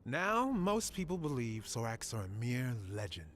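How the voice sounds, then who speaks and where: Solemn, expressive fantasy lorekeeper. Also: male voice, medium pitch, a little hiss or hum. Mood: grave. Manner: solemn, deliberate, expository. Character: grave male scholar briefing adventurers on ancient monsters. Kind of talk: scripted fantasy game cutscene acting.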